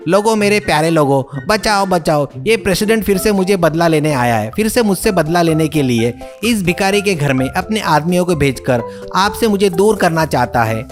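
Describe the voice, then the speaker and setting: Man voicing a brazen, whiny cartoon beggar, high-pitched. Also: lively intonation, moderate pace, hardly any pauses, soft background music. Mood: mock pleading. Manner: pleading, loud, indignant street patter. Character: brazen scheming male beggar, whiny and theatrical.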